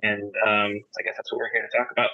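A man's voice: fairly low pitch, little pitch movement, fast talker, no room sound. A man chatting casually in an interview as a director, modest and understated, on a dull lo-fi recording. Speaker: audio drama director and sound designer. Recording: dry, dull lo-fi sound.

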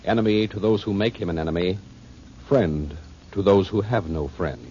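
A male radio announcer with a very deep voice and a slow, dramatic delivery. The broadcast sounds muffled with clear hiss.

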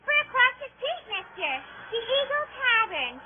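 An actress playing a nosy, slow-witted neighbour calling from an upstairs window in a radio drama, shrill and drawn-out. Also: female voice, very animated. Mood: oblivious, helpful. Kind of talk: 1950s radio drama comic bit part. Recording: thin, muffled vintage radio recording.

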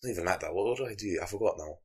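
Deep-voiced young man, laid-back and low. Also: expressive, racing, breathy edge. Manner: laid-back, laughs often, trails off mid-sentence. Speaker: podcast co-host. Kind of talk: casual chat.

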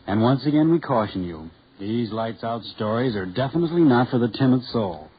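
A man's voice: mid-range voice, a little fast, breathy edge. Grave male radio host. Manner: calm, grave warning. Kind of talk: radio horror introduction. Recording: muffled 1940s radio with music behind.

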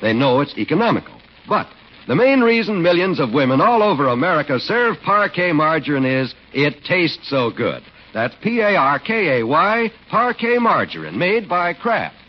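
A man's voice: a little high, sing-song, moderate pace. A man working as a 1940s radio announcer, reading scripted copy with polished emphasis. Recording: muffled old radio broadcast recording.

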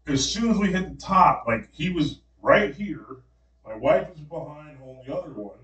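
A man, co-hosting a podcast and telling stories in a lively way, acting out what people said. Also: on the high side, moderate pace. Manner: animated storyteller acting out quotes. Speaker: podcast co-host. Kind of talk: casual storytelling chat. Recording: roomy, slightly distant mic.

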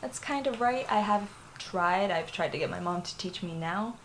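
A woman's voice: fairly low pitch, moderate pace, slight background noise. A young woman from Florida with a Puerto Rican family, telling a personal story in a candid, rambling way, full of fillers and laughs. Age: young adult. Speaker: interviewee.

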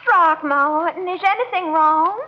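On a muffled 1940s radio recording, a fluttery Southern belle with a very high-pitched voice. She gushes and dramatizes in classic sitcom style. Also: measured pace, noisy background. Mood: flustered.

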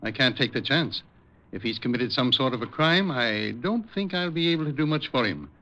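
Animated male doctor. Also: mid-range voice. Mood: serious, firm. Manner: measured, soothing, instructive. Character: calm, authoritative male hospital psychiatrist, reassuring. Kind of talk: 1950s radio drama acting. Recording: muffled, noisy old radio recording.

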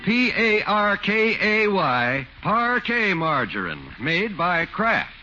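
A man announces in 1940s radio style. His slightly high voice is animated, over loud music on a dull old recording. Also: slow. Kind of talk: 1940s radio announcing, scripted sponsor reads and scene setting.

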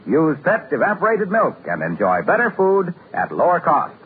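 A man's voice: fairly high pitch, wildly swooping pitch, moderate pace. A man announcing for a sponsor in the style of 1950s radio. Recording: tinny, noisy old radio recording.

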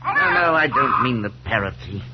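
An actor playing a nervous, irritable, haunted professor in a 1940s radio drama, speaking haltingly and with emphasis. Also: male voice, mid-range voice, big pitch swings, close to an everyday voice. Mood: uneasy, intense. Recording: dull, hissy 1940s radio broadcast.

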